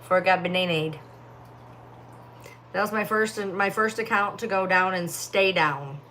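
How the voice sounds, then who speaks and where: A woman's voice: lowish voice, normal speed, sharp tone, slight background noise. Sleepy rambling woman on a livestream. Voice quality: distinctive voice. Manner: rambling, heavy filler words. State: sleepy, yawning. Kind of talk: casual live-stream chat reading comments. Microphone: phone.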